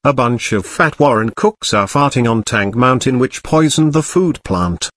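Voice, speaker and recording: A man's voice: mid-range voice, expressive, moderate pace, fairly bright tone, no room sound. A man reading a scripted mock emergency warning as an alert announcer.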